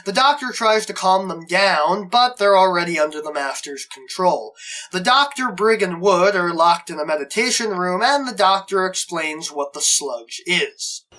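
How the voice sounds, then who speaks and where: A man's voice: high-pitched, expressive, moderate pace, pushed and bright. A man hosting a review show, narrating a scripted plot recap.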